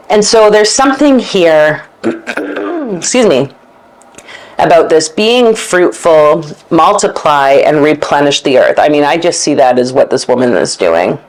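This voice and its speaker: A woman hosting a meandering spoken monologue, reading passages aloud and thinking out loud with pauses and fillers. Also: low-pitched, rough recording. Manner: meandering, searching, with fillers and self-corrections; reads passages aloud from a book. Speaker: esoteric channel host.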